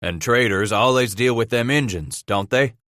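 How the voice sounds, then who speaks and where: A man reading aloud, voicing a steady, plain-spoken male brigade leader with a frontier drawl. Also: mid-range voice, moderate pace, dry recording, studio quality, close to an everyday voice.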